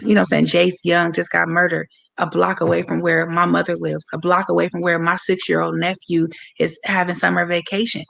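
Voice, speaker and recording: A woman from San Francisco with a hoarse voice, a boxer speaking candidly and passionately as a guest on a livestreamed interview over a video call. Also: on the low side, a bit bright. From San Francisco, USA. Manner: candid, passionate, talks straight to viewers.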